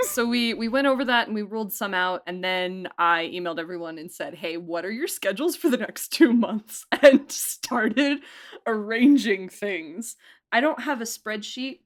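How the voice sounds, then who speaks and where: A woman chatting casually and effusively as a podcast guest, talking as a producer and director and often breaking into laughter. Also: lowish voice. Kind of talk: casual behind-the-scenes interview chat.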